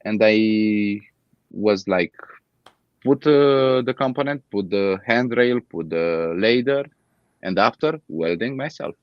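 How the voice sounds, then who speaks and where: A man in his thirties from Romania with a Romanian accent, speaking English as a second language in a casual interview, halting and searching for words. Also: lowish voice, no echo, dull audio. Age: 30s. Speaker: guest.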